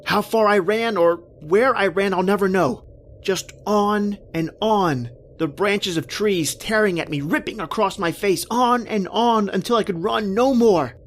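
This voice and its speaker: A woman's voice: medium pitch, a little hiss or hum, lightly acted. Woman acting an anguished, bewildered horror-tale husband. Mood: confused, breathless dread. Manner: halting, anguished, theatrical delivery. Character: panicked, bewildered working husband lost in a nightmare. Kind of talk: dramatic first-person horror radio narration and acting.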